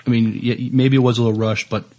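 A man co-hosting a podcast, talking casually about TV in halting, broken phrases. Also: medium pitch, flat delivery, darkish tone, a little hiss or hum. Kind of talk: casual TV discussion.

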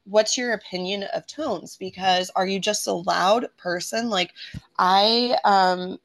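Gravelly-voiced woman, chatty teacher. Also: medium pitch, slow, a bit bright. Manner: chatty, rambling with many fillers. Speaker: preschool teacher, cohost. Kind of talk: casual chat.

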